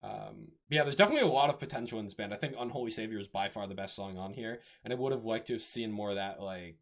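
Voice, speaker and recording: A young man co-hosting a podcast, giving his measured opinion in casual chat. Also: fairly low pitch, gravelly. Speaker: podcast co-host. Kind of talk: casual music review chat.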